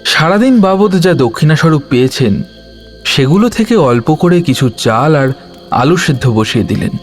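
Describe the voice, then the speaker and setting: A man narrating a scripted horror story with dramatic, measured phrasing and deliberate pauses. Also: on the high side, animated. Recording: music bed under the voice. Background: music.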